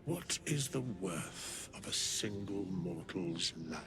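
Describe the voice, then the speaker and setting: Ancient, gravelly sage. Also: male voice, sits low, expressive, takes it slowly, full character voice. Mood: solemn. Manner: archaic, ponderous, formal. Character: ancient, solemn male undead sage. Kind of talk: fantasy video game acting.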